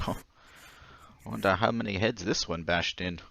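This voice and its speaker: An actor playing a timid, eccentric war-veteran lodger in an old-time radio drama, hesitant and stammering with nervous manners. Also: male voice, low voice, normal speed, gravelly, pushed and bright, no room sound, heavily acted. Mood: odd, excitable.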